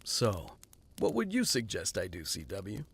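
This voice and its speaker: An actor playing a brooding adult vigilante who speaks in a terse, guarded way over music. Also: male voice, deep voice, wildly swooping pitch, unhurried, fairly bright tone, husky, full character voice. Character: brooding grown male vigilante.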